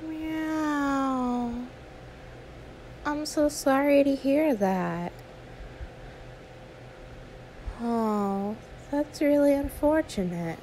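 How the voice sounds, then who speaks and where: A woman's voice: high-pitched, extremely slow, a little mellow, slight background noise, poor audio. A woman voicing an anxious, sweet young female YouTuber in an audio roleplay, stammering, awkward and apologetic. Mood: sympathetic. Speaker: ASMR roleplay performer. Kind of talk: one-sided ASMR audio roleplay.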